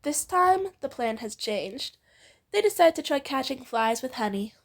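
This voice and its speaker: Lively, high-voiced woman co-reading a story. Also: unhurried. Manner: giggly, teasing asides. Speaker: fan fiction reader and co-host. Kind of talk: playful co-read story narration with banter.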